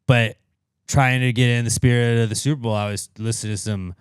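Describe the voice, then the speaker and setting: A man's voice: medium pitch, steady tone, a little fast, clear, resonant tone, dry recording, clean studio sound. A man co-hosting a comedy podcast, bantering casually with lots of swearing and joking asides. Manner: joking, puts on mock Spanish lines. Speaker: podcast co-host.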